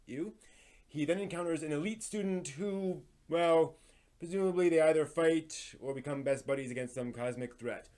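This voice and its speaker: A man's voice: on the high side, moderate pace, a little mellow. Casual man hosting a news live stream. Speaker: live stream host presenting anime news.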